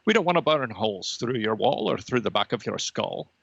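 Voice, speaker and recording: A smug, otherworldly dot of energy played by a man holds forth in a mock interview. The voice is crisp-edged and forceful with a gravelly fry, condescending and measured, on a dry, slightly dull recording. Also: on the low side, sing-song, dry recording, heavily acted. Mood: condescending, explanatory. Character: smug male energy being, a red laser dot. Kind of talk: improvised comedy mock interview.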